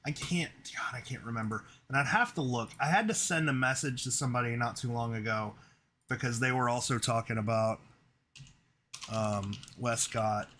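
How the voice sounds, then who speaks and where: Bright-voiced, chatty livestream host. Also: male voice, mid-range voice. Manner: chatty, quick to laugh. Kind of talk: casual livestream chat. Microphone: video call.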